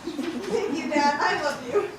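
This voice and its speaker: Young woman acting a high-voiced earnest girl adventurer, echoey hall. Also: slow pace. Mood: searching, relieved. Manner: earnest, plaintive, exclaiming. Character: Amelia, earnest adventurous young girl seeking her father. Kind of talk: live puppet show acting for children. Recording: echoey noisy hall, far microphone.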